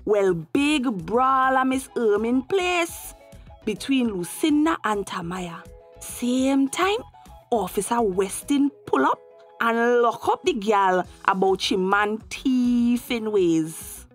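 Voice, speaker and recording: A woman's voice: a little high, animated, takes it slowly, soft background music. A woman with a Tobagonian accent reading scripted narration and announcements for a radio drama.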